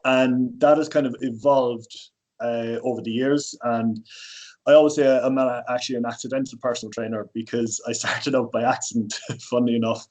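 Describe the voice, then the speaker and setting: A man's voice: medium pitch, fairly even intonation, moderate pace, darkish tone, rough recording. An Irish man in his thirties, a personal trainer, talking casually as a podcast guest with lots of fillers and restarts. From Ireland. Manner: rambling, many fillers and restarts.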